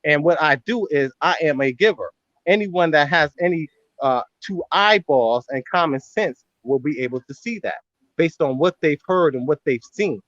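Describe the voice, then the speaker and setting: High-voiced man, heated and rambling, dull lo-fi call sound. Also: moderate pace, a bit bright, dry recording. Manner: rambling, repeats "you know what I'm saying". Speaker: guest in a live stream. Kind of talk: heated, defensive argument.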